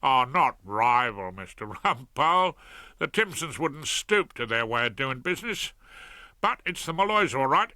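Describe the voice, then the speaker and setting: A man playing a cheerful old South London villain, chatty and ungrammatical, with a working-class accent. His voice is bright and forceful, and it rises and falls a lot. Recorded close and dry. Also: on the high side, no echo, pitched above the performer's own voice. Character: cheerful old petty-criminal grandfather. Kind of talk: audiobook character voice.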